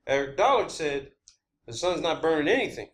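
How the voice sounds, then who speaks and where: A man hosting a video, giving a rambling, unscripted explanation of his own theories in a halting, emphatic, blunt and casual way, repeating key words. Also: fairly high pitch, very animated, a bit bright. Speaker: video channel host. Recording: clear background ambient noise.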